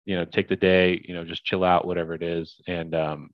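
A man's voice: deep voice, flat delivery, fairly quick, no room sound, muffled recording. A young man, a podcast guest, talking casually in a rambling, upbeat way with lots of fillers. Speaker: podcast guest, crypto investor.

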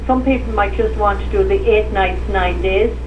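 An Irish woman chats with enthusiasm as a guest on a talk radio show. Her voice sounds set back from the microphone, with a clear hiss of line noise behind it. She speaks in an easy, mid-pitched way. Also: normal speed, far from the mic, lo-fi sound. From Ireland. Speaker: guest, tour operator. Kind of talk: casual radio interview.

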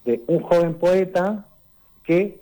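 Mellow-voiced man explaining unhurriedly. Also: sits high, poor audio. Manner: unhurried and explanatory, tags lines with "¿no?" and "eh". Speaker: literature columnist on a radio show. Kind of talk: conversational radio column.

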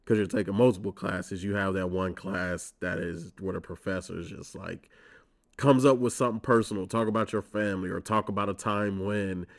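A man's voice: sits low, steady tone, fairly quick. Congested young man ranting on his podcast. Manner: profane, wry and ranting. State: congested from a cold. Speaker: podcast host. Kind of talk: casual solo monologue.

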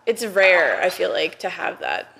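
A woman's voice: lowish voice, very animated, a little slow, gravelly, noisy background. A woman talking casually and thoughtfully in a podcast conversation, using "like" often. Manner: casual, thoughtful, many "like" fillers. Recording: reverberant room.

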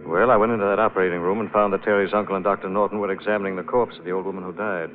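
An actor playing a suave, confident gentleman sleuth in a 1940s radio drama. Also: low voice, fairly even intonation, very fast, lightly acted. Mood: assured. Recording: narrow-band old radio, faint noise.

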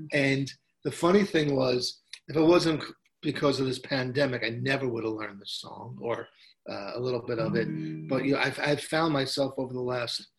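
A man with a gravelly voice, a songwriter chatting casually as a guest, telling rambling stories at home. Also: medium pitch, low-quality recording. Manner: relaxed, rambling storytelling. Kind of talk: casual chat. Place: at home with guitar.

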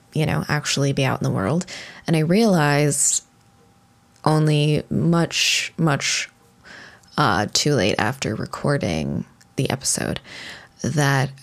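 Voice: mid-range voice, gravelly. A podcast host talking casually on their own, rambling with lots of fillers and restarts. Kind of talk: casual solo podcast monologue.

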